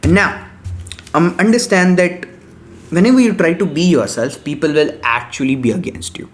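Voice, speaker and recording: A man's voice: fairly high pitch, normal speed. Earnest young man giving life advice. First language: Gujarati. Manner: earnest, preachy, repeats short punchy words. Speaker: self-help vlogger. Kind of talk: motivational monologue to camera.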